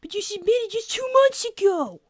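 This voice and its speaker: A woman's voice: extremely high pitch, expressive, moderate pace, no room sound, clean studio sound. A woman playing a smug, precocious young cartoon fox boy co-hosting an in-character livestream with matter-of-fact, needling chat. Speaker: livestream co-host.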